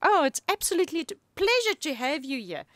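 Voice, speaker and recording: A woman hosting a radio interview in a studio, warm-hearted and effusive as she brings in her guest. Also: high-pitched, big pitch swings, fast talker, dry recording. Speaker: radio show host.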